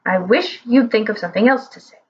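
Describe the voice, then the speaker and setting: A woman's voice: a little high, a little fast, husky, lo-fi sound. A woman voicing a grumpy, pudgy old male wizard who grumbles and whines. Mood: grumbling. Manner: grumbling, whiny.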